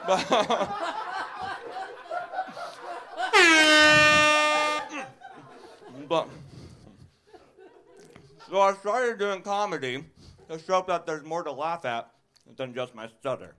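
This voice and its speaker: Young male comic, stutter, slow delivery, bright high voice, handheld stage mic. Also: huge pitch swings. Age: 20s. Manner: stutters and laughs at his own jokes. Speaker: stand-up comedian. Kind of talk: stand-up set. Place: live comedy stage.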